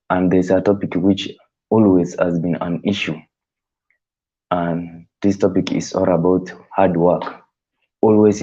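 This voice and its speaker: A man whose first language is not English, hosting a live stream in casual, halting talk that rephrases as he thinks aloud. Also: deep voice, dark tone, highs cut off.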